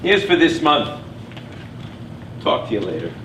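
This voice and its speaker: An actor on stage playing a brusque, stressed boss of a small business. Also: male voice, mid-range voice, big pitch swings, measured pace, sharp tone. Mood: hurried. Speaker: actor in a one-act play. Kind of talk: live stage play acting. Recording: echoey, distant live stage recording, faint noise.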